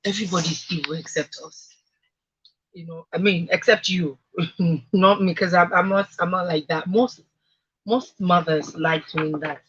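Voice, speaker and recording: A woman with a Nigerian accent and a husky voice in a conversational radio discussion, emphatically repeating phrases to make her point. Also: fairly low pitch, fairly even intonation, unhurried, fairly bright tone, muffled recording. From Africa. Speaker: radio talk show speaker.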